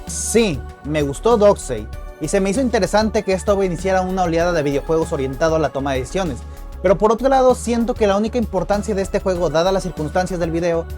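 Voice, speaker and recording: A man's voice: sits high, a little mellow. A man with a Latin American Spanish accent narrating a scripted video essay with casual asides.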